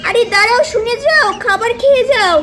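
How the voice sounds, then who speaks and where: A young village wife calls after her husband in a doll puppet cartoon, fond and a little chiding, as a woman puts her on. The voice is very high, with steady hiss behind it. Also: fairly quick. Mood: urging. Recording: steady background noise.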